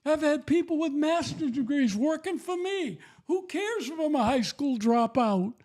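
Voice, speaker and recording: A man's voice: extremely high pitch, lively intonation, normal speed, darkish tone. An older man giving an emphatic, repetitive, preachy testimony about faith and raising children.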